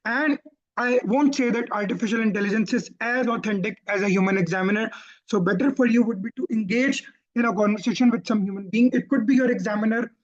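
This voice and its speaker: A man with a Pakistani accent teaching exam skills in a webinar, explaining at length and often correcting himself. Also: high-pitched. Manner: explanatory, repeats points and self-corrects. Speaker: IELTS tutor. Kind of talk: webinar teaching and answering questions. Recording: dull lo-fi online call audio.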